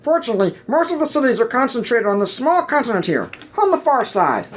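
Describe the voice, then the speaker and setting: A man's voice: very high voice, sing-song, fast talker, a little hiss or hum, pitched above the performer's own voice. A man voicing Mario as a wise, kindly older Jedi master in a lilting, earnest way, for an audio play. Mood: confident, playful.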